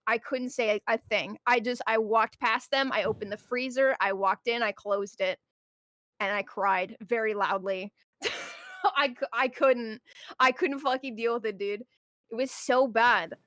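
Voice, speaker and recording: Woman, very high pitch, dry close sound, animated casual venting, dramatic, sweary, livestream guest. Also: unhurried, no room sound. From Puerto Rican heritage. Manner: dramatic, sweary, acts out quoted exchanges.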